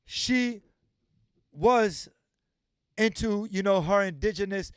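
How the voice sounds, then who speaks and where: A man with a notably high voice hosts a casual livestream interview. He is emphatic and drives points home by repeating phrases. The sound is dry, with no room echo. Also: slow, clean tone. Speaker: livestream host.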